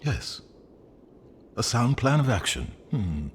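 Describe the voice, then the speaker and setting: Theatrical, wry scholar-guide in echoing reverb. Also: male voice, medium pitch, very animated, takes it slowly, a little hiss or hum. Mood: pompous, musing. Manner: theatrical, articulate, dryly pompous. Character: erudite, wry male guide companion, theatrical and self-assured. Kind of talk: video game cutscene acting.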